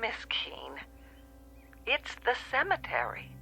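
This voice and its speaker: Female telephone operator, efficient, patronising politeness, sharp bright voice, radio drama. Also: fairly high pitch, wildly swooping pitch, creaky, exaggerated voice. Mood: firm, uneasy. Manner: measured, professional, placating.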